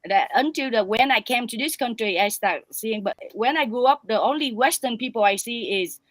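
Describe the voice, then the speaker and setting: A Vietnamese-born woman speaking English with a Vietnamese accent, telling animated stories as a guest in broken English. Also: a little high, normal speed, no room sound, poor audio. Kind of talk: casual storytelling chat.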